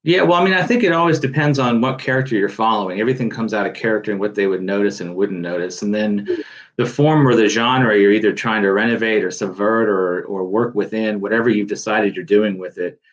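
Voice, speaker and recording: A man's voice: fairly low pitch, fairly quick, highs cut off. A man speaking as a guest author on a panel, thoughtful and rambling with many restarts. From United States. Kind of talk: panel discussion.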